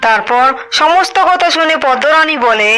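A woman's voice: high voice, animated, normal speed, pushed and bright, faint music behind. A woman narrating a scripted fairy tale for a cartoon.

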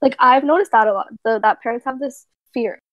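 A woman speaks thoughtfully on a casual podcast. Her voice is fairly high and sits dry and close. She explains her views at length and hedges as she goes. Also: fairly quick, dry recording, highs cut off. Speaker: podcast guest.

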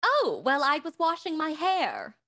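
Woman playing arch, animated high-voiced socialite. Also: a little slow, exaggerated voice. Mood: airy. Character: haughty, leisured socialite woman houseguest, breezily evasive. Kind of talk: radio mystery acting, live online table read.

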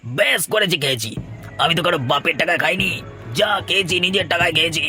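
A man's voice: on the high side, quick pace, pushed and bright. A man playing an abusive drunken husband in a melodramatic cartoon, scolding coarsely and aggressively. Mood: angry defiance. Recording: music behind the voice.

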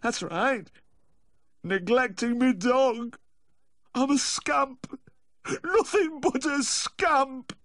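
A man's voice: high voice, moderate pace, fairly bright tone, no room sound, studio quality, voice pushed up. A man voicing a maudlin, panicky, drunken Yorkshire dog owner in a storybook, with a tearful wail.

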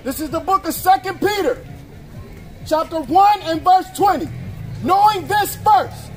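A man loudly declaiming scripture outdoors, shouting it out in drawn-out phrases as a reader for street preachers. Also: very high-pitched, soft background music, some chatter behind. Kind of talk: loud, declamatory scripture reading. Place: outdoor waterfront brick plaza.

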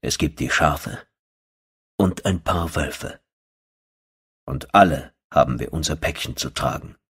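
A man narrating a first-person horror audiobook in a brooding, terse, dry manner with a husky voice. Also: extremely low pitch, a little slow, fairly bright tone.

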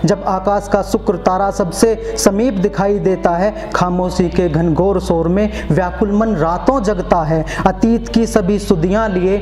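Young male open mic poet, high-pitched and declamatory, echoey room with faint music. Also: a little mellow. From Uttar Pradesh, India. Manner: declamatory, repeats lines for emphasis. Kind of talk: open mic poetry recitation. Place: small indoor open mic venue. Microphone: stand mic.

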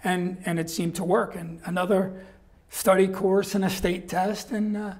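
An older man with a New York accent, a guest telling stories in a casual interview, animated and laughing often, acting out quoted voices. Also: high voice, unhurried. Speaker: guest, guitar company rep. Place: small studio set with backdrop.

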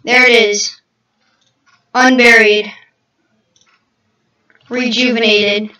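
A woman's voice: fairly high pitch, flat delivery, very slow, pushed and bright. A woman chatting casually while playing a game, keeps calling out to her partner. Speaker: gameplay host. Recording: echoey room, distant mic.

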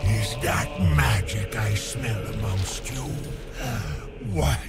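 An actor playing a depraved old undead governor in a video game, mockingly courteous and theatrical, with loud music over him. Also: male voice, on the low side, creaky. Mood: gloating, hungry. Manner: theatrical, slow, drawn-out gloating. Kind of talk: video game villain acting.

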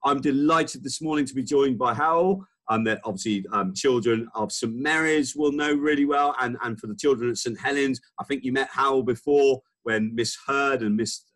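A man's voice: medium pitch, normal speed, hardly any pauses. A man in his forties, a head teacher, opening a school assembly over a video call in an upbeat, teacherly way. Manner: warm, upbeat, teacherly, some hesitations. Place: school office, indoors. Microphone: computer webcam on video call.

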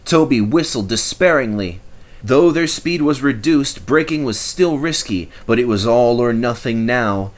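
A man reading a children's story aloud in expressive, scripted narration. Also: mid-range voice, a little slow. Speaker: storybook reader.

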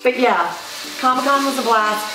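A woman in her forties hosting a cooking show, hoarse from a cold, chatting in a playful, teasing way in a home kitchen. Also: on the high side, normal speed, background noise. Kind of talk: casual kitchen chat. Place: home kitchen set, indoors. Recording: roomy, distant sound with background music.

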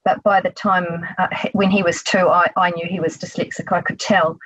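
A woman's voice: lowish voice, little pitch movement, fairly quick, a bit bright, breathy edge, no room sound, low-quality recording. A middle-aged woman with a New Zealand accent, a therapist answering interview questions conversationally.

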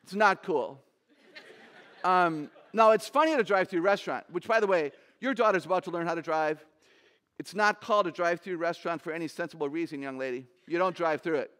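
Woman in her sixties, low voice, animated humorous speaker, large stage, headset mic. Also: fairly quick. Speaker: conference speaker.

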